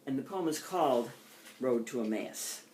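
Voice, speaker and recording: An older woman with an American accent, a poet reading her own poems in deliberate phrases and introducing them informally. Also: rumbling low, animated, dark tone, slight background noise, low-quality recording. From United States.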